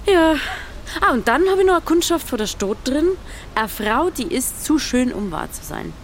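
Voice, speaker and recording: An actress with a Bavarian accent playing a sly, good-humoured village matchmaker in a dialect radio play. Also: female voice, sits high, big pitch swings, normal speed, slight background noise. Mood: dry, confiding.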